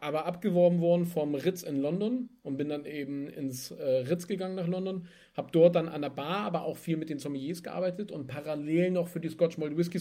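Chatty young man telling his story. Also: a little high. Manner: lively and chatty, laughs while telling stories. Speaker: guest, spirits entrepreneur. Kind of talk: casual interview storytelling.

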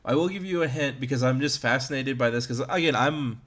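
A man's voice: medium pitch, fairly quick, fairly bright tone. A man in his early thirties chatting casually as a podcast host about video games, in a rambling, self-deprecating way. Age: early 30s.

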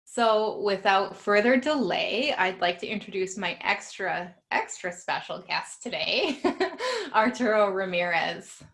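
A young woman hosts a podcast in a loose, friendly way, laughing often and filling pauses with ums and uhs. Her pitch sits right in the middle for a woman. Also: sing-song. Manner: warm, laughs often, many fillers. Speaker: podcast host. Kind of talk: casual podcast interview.

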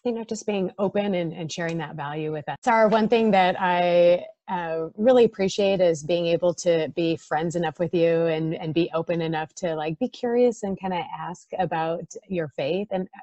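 A woman's voice: fairly low pitch, normal speed, few pauses, warm tone, dry recording, lo-fi sound. A woman hosting an interview, affirming her guest and speaking with many "you know" fillers. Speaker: host. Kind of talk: conversational interview.

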